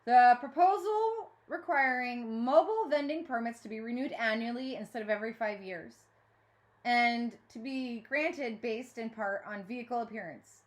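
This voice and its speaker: A woman voicing a brisk, impatient female mayor in a storybook, formal and projecting like an official. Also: a little high, natural voice.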